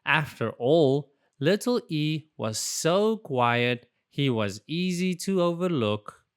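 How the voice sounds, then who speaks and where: A storybook reader narrating for young children in an expressive, deliberate way. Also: medium pitch, clear, resonant tone. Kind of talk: animated children's read-aloud narration.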